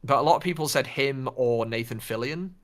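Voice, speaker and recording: A man's voice: mid-range voice, a little fast. Man chatting casually as podcast co-host. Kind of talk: casual chat about films and games.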